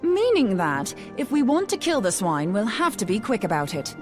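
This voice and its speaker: Lively, blunt woman researcher. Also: mid-range voice, moderate pace, faint music behind. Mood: brisk, confident. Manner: colloquial, breezy, blunt. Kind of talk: scripted fantasy game dialogue.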